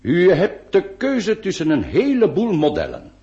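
A vain nineteenth-century surgeon in a radio play. He is pompous and soothing, and his pitch leaps and dives dramatically as he lectures in formal, weighty phrases. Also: huge pitch swings, warm tone, dull audio, heavily acted. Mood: matter-of-fact. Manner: pompous, formal, deliberate emphasis. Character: self-assured, vain 19th-century surgeon, soothing and pedantic. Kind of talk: theatrical Dutch-language radio play acting.

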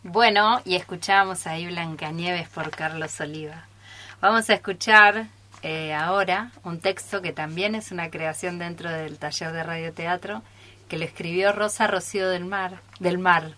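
A woman's voice: fairly low pitch, steady tone, a little slow, pushed and bright. A woman with an Argentine accent informally presenting a radio theatre show live.

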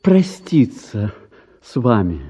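An actor playing a flustered, evasive young bachelor in a theatrical radio play, stammering and hesitant. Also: male voice, mid-range voice, big pitch swings, slow, warm tone, slightly rough, exaggerated voice. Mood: awkward, evasive. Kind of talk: theatrical radio drama acting.

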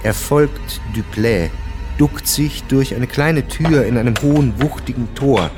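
An actor playing the sober narrator of a historical radio drama, reading scripted present-tense narration. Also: male voice, mid-range voice, measured pace, lightly acted. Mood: measured. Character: sober male chronicler of historical events. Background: music.

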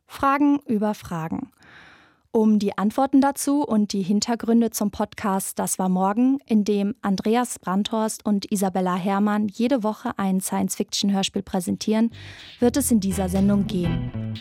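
A woman's voice: mid-range voice, moderate pace, mellow, no echo, studio quality. A young woman in her twenties presenting a scripted podcast narration. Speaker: presenter, radio drama intern.

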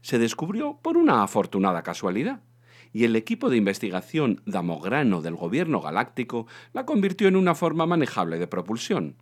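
A man's voice: medium pitch, huge pitch swings, a little fast. A man with an accent from Spain, a co-host reading comic fiction aloud in a theatrical, playful way. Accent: Spanish from Spain. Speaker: show co-host and reader. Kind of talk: expressive reading of a comic science fiction novel.

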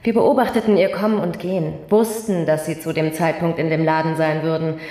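A woman acting as a ruthless female villain of the security state, with a lowish voice in a roomy, echoing space. She is cold, contemptuous and menacing in radio drama style. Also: fairly even intonation, brisk, mellow, close to an everyday voice. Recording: roomy, echoing studio acoustic.

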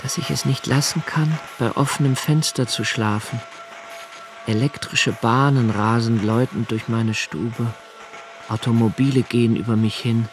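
An actor playing an anxious, solitary young writer in a literary radio play monologue, halting and pensive, sometimes sinking to near-whispers. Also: male voice, mid-range voice, fairly even intonation, natural voice. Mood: restless, agitated.

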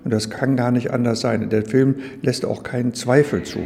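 Mellow man guiding in a reverberant hall. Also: medium pitch, fairly even intonation, normal speed, creaky, soft background music. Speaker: exhibition guide. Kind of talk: informal guided-tour explanation. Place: large echoing indoor exhibition room. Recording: long hall reverb, muted tone.

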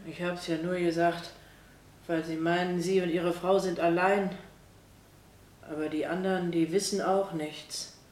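An actress playing a plain-spoken, caring housemaid in a German radio drama, simple and hesitant in manner. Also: female voice, fairly low pitch, little pitch movement, a little slow, darkish tone, reverberant, lightly acted. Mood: concerned.

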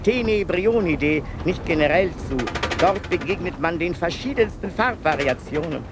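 A man's voice: animated, normal speed, noisy background. An actor playing a male asylum patient who lectures obsessively on beetles, in a pedantic, sing-song manner, in a 1990s radio play. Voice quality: pedantic, lecturing, with sudden pitch swings. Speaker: patient narrator.

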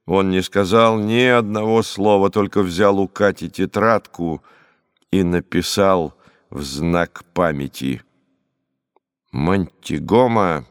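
A man reads a story as an audiobook narrator. His voice sits fairly low, and his phrasing is expressive and dramatic. The recording is very dry. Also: takes it slowly, clean tone, no echo.

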